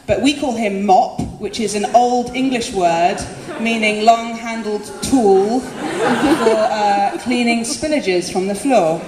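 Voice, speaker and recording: A woman performing spoken word live, chatty and self-deprecating, often laughing between lines. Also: medium pitch. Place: live concert venue. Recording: echoey hall with faint room noise.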